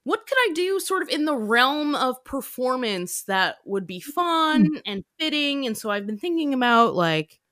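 Woman host, casual chat, self-deprecating humor, fairly high voice, dry sound. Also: big pitch swings, normal speed, few pauses, fairly bright tone, no echo. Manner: chatty, self-deprecating, laughing often. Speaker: podcast host.

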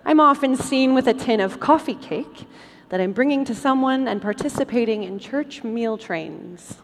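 A woman's voice: a little high, lively intonation, normal speed. A woman performing in a live stage show, reading a scripted comic introduction in a convention hall. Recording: live hall with long reverb.

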